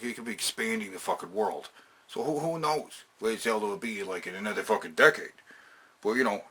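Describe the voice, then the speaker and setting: A man with a very sharp, forceful voice and a gravelly rasp gives casual countdown commentary. He is emphatic and opinionated, swears heavily and often circles back to repeat his point. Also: mid-range voice. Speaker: video host counting down a top ten list.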